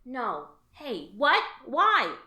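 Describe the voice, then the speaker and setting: A woman's voice: on the high side, huge pitch swings, drawn-out words, reverberant, a crowd in the background, heavily acted. A woman playing a frazzled alien office worker, exasperated and sarcastic. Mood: frustrated. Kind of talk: audio drama.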